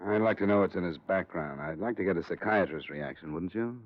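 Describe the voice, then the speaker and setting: An American actor playing a cool, probing, hard-boiled insurance investigator in a terse 1950s radio detective style. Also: male voice, low-pitched, brisk. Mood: thoughtful. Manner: terse, matter-of-fact, probing. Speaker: lead actor and narrator. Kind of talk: 1950s radio detective acting, clipped noir narration. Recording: dull vintage 1950s radio broadcast, music behind.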